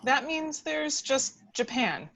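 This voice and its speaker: A woman's voice: high-pitched, animated, takes it slowly, creaky, fairly bright tone, full character voice. A woman playing a nervous, worried female lab scientist in an amateur table read.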